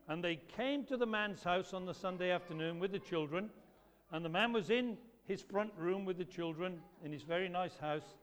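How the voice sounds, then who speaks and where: A man with a loud voice, preaching an animated talk to children at a church service. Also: high voice, moderate pace. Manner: lively, emphatic, storytelling to children. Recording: echoey hall reverb.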